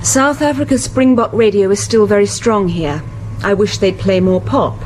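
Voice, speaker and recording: A woman's voice: medium pitch, normal speed, darkish tone, a little hiss or hum, lightly acted, rough recording. Actress as a thoughtful woman scientist. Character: British woman marine biologist reading a diary aloud. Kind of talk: radio thriller acting.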